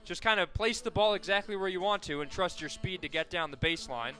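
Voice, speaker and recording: An American man calling live softball play-by-play as a radio announcer, conversational and ready to lift with the action. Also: sits high, fast, soft background music. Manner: conversational between plays, excited calls on action.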